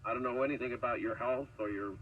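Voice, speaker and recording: A man's voice: medium pitch, steady tone, fast. Muffled man on a noisy old radio. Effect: heard through an old radio speaker. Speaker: radio broadcast speaker. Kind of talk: informal radio talk.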